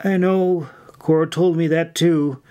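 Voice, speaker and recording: A man's voice: a little high, fairly even intonation, measured pace, warm tone, dull audio, voice pushed up, natural voice. Timid, eager girl recruit voiced by a man. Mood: worried. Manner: hesitant, meek, earnest. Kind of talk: dramatised fiction reading.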